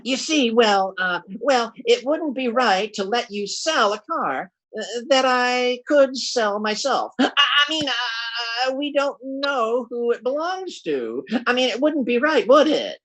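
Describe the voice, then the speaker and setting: A man acts out a bumbling everyman schemer from an old-time radio comedy. He talks slowly and folksily, stammering and blustering, with pitch that swings about freely. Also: animated, a bit bright, heavily acted, rough recording. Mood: flustered scheming. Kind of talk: classic radio comedy acting.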